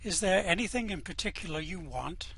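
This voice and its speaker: A man's voice: sits high, expressive, a little fast, bright, forceful tone, breathy edge, no room sound, exaggerated voice. A man doing a smarmy, evil alien prison officer. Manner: smarmy, chatty, falsely polite. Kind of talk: amateur radio play reading.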